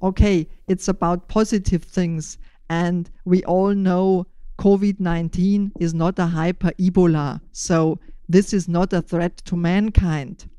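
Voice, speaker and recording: An older woman with a German accent speaking deliberately and in measured phrases as a podcast host, giving prepared interview answers. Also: on the low side, steady tone, warm tone, smooth, no echo.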